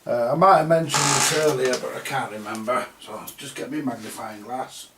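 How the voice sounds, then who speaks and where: A man giving a casual, halting, mildly sweary thinking-aloud commentary as he does hands-on repair work, often muttering to himself. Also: medium pitch, fairly even intonation, heavy background noise. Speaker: repairer presenting a hands-on video. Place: indoor repair workbench.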